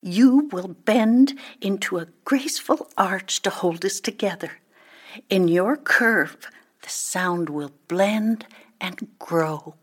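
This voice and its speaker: A woman voicing a shy, gentle male boxwood tree in a storybook, quiet and admiring. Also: medium pitch, takes it slowly, husky. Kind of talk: storybook character voice.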